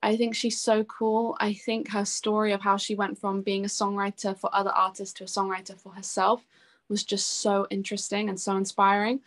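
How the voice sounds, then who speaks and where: A woman's voice: medium pitch, level tone, brisk. A woman in her twenties chatting casually in an interview, effusive and self-deprecating, with a giggly way of talking. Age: 20s. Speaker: singer-songwriter being interviewed.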